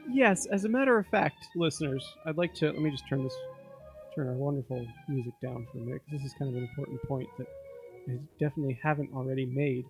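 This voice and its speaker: A man co-hosting a comedy podcast, playing up melodramatic despair with deadpan exasperation. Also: medium pitch, quick pace, soft background music, rough recording. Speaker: podcast co-host. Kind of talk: comedic improvised banter. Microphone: studio microphone and headphones.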